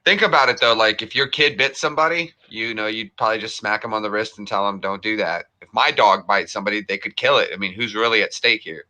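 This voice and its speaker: A man's voice: fairly low pitch, quick pace, few pauses, bright, forceful tone. A man co-hosting a live stream, joking and teasing in casual banter and laughing often. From born in Germany, lives in the US. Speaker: livestream co-host.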